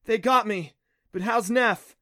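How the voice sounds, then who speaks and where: A man's voice: high-pitched, a little slow, sharp tone, no echo, studio quality, pitched above the performer's own voice. A man voicing a bold, clever and bossy young girl adventurer in a storybook reading. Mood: frantic.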